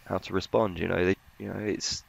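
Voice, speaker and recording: A man giving conversational market analysis as a financial journalist, in a hesitant, halting way. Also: low-pitched, little pitch movement, creaky, husky.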